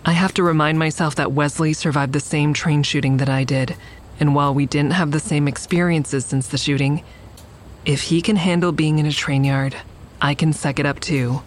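A woman's voice: low-pitched, fairly even intonation, moderate pace, a little hiss or hum, close to an everyday voice. A woman playing a wary survivor who narrates in the first person in an audio drama, introspective in manner. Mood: wary, reflective. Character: wary shooting survivor narrating a nighttime adventure.

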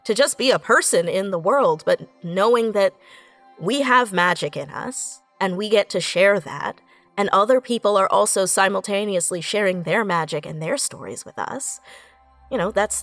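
A woman speaking reflectively and earnestly in a panel conversation, haltingly, often repeating words as she finds her thought. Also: mid-range voice, expressive, faint music behind. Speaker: podcast guest writer.

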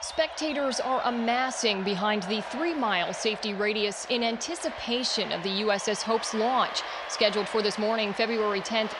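Actress doing a formal lady reporter at a launch, highish voice, loud noise all around. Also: close to an everyday voice. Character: composed, formal female TV news reporter at a rocket launch. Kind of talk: news report in audio drama.

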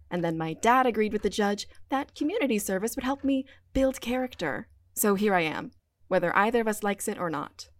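A woman's voice: mid-range voice, natural voice. An actress playing a grudging, sarcastic young woman sent to do community service, in an audio drama. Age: young adult.

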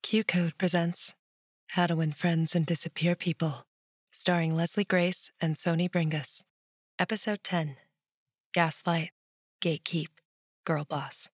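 Low-pitched, dry-sounding woman announcer. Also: level tone, a little slow, pauses often, slightly rough, dry recording. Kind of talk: scripted show introduction.

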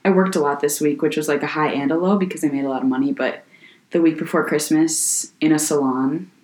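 A young woman with a deep voice for her age, a little hoarse from a sore throat. She talks in a loose, chatty way, full of "like" and restarts, as a podcast co-host. The room has a noticeable echo. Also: fairly even intonation, brisk, a little mellow. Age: young adult. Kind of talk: casual chat. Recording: echoey room.

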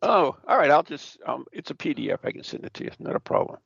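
A man's voice: fairly high pitch, fast, vocal fry, slightly rough, no echo. A man hosting a casual radio show, chatty and rambling with fillers. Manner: lively, chatty, trails off with fillers. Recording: dull lo-fi dry audio.

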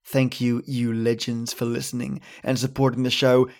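A man hosting a podcast, delivering scripted thanks and stories in a dramatic way with storytelling pauses. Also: medium pitch, flat delivery, creaky, a little mellow. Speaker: podcast host. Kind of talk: theatrical scripted narration and listener thanks.

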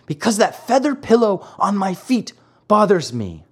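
A narrator voicing a whiny, stubborn little wooden boy marionette in a high, squeaky, childlike storybook voice. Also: big pitch swings, measured pace, husky. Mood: complaining. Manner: whining, childlike, pleading.

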